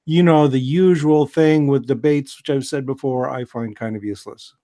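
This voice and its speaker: An American man giving a relaxed, digressive science lecture on a video call, with many fillers and restarts. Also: medium pitch, normal speed. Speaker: host, biology lecturer.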